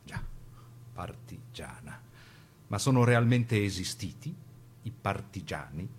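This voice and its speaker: A man presenting scripted radio documentary narration in a measured, rhetorical way. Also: fairly low pitch, expressive, a little hiss or hum.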